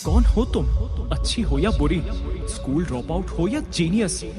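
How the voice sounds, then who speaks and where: An intense, commanding young man, played by an actor, fairly high for a man and swinging widely in pitch. Prominent music plays under his dramatic lines. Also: animated, moderate pace, close to an everyday voice. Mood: suspicious, intense. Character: intense wealthy young man, suspicious, devoted grandson. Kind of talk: Hindi audio drama acting.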